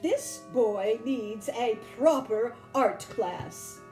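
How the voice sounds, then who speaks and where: A woman's voice: on the high side, expressive, extremely slow, warm tone, soft background music. A woman voicing a prim, proper, dismissive aunt in a children's storybook. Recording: roomy home recording with faint noise.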